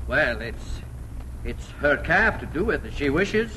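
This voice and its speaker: A man playing a plain-spoken, halting farmer in a 1940s radio drama. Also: fairly high pitch, very animated, pushed and bright, exaggerated voice. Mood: halting, resigned. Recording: noisy 1940s radio broadcast recording.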